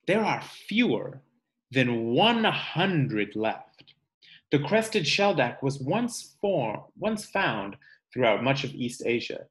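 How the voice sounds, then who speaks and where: A man in his thirties, a teacher reading a picture book aloud to children in measured, clear phrases at home. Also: fairly high pitch. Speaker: teacher reading aloud to third-grade class. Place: room at home.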